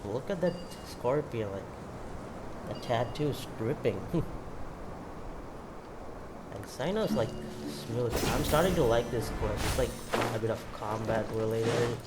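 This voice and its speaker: A man's voice: medium pitch, a little slow, noisy background. A man giving casual, offhand commentary as he plays a video game. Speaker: gameplay streamer. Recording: loud game music behind voice.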